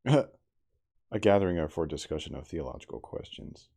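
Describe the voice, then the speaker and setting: Very deep, gravelly man reading softly. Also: animated, moderate pace. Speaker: reader, livestreamer. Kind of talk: hushed ASMR audiobook reading with casual asides.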